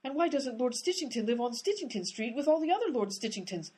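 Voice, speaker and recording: Woman acting a fed-up lady detective in a radio comedy, blunt, husky, pitch swooping around. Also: very animated, fast talker, a little mellow, exaggerated voice. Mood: tough, demanding. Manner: blunt, exasperated. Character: exasperated, tough-talking female detective on a case.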